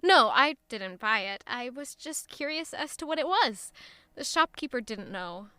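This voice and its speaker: A woman's voice: high-pitched, animated, unhurried, bright, forceful tone, smooth, exaggerated voice. An actress playing an anxious young traveling woman with a secret in an audio drama, tense, guarded and hesitant. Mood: hesitant, careful.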